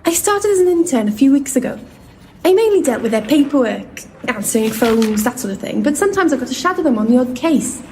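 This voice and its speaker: A woman's voice: high-pitched, animated, brisk, warm tone, slight background noise. A woman playing a polite, earnest young intern giving her account in an audio drama. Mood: wry, recounting.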